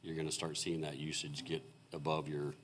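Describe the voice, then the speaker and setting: A man presenting as a consulting engineer at a city board meeting, explaining in a halting way with many fillers while he is losing his voice. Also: rumbling low, monotone, a bit bright, a little hiss or hum. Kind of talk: technical presentation at a public meeting. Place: city council meeting room.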